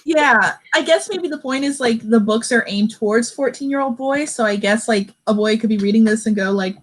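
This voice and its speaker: A woman's voice: a little high, fairly quick. A woman co-hosting a casual book discussion, offering her opinions in a conversational, qualified way.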